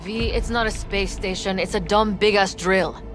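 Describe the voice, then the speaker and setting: A woman's voice: mid-range voice, steady tone, moderate pace, music in the background, close to an everyday voice. A woman playing a brash, salty nomad technician in a video game, blunt and sardonic. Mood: brash, impatient. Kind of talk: dramatic video game acting.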